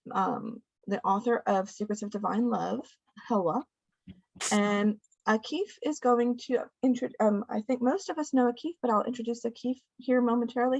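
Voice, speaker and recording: A woman's voice: medium pitch, dry recording, lo-fi sound. A woman moderating and opening an online event, speaking hesitantly with many ums. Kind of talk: opening introduction.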